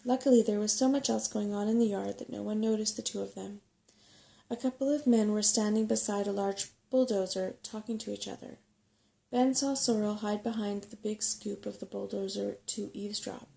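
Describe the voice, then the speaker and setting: A woman narrating a bedtime story in a soft-spoken ASMR reading style. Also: medium pitch, fairly even intonation, moderate pace, gravelly.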